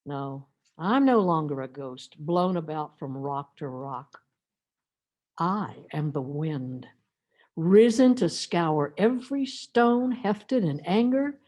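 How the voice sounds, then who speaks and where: A woman's voice: low voice, lively intonation, takes it slowly, darkish tone. A woman reading a dramatic first-person meditation as an anguished, defiant biblical woman, in an emotive, theatrical manner. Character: Mary Magdalene, anguished, defiant former prostitute. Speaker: reader of a church meditation.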